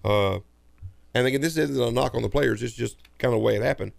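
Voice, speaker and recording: Hoarse man talking sports on radio. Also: mid-range voice, fast, no room sound. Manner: rambling, repeats himself. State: hoarse from a sinus infection. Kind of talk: casual sports talk. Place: radio studio. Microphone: studio microphone.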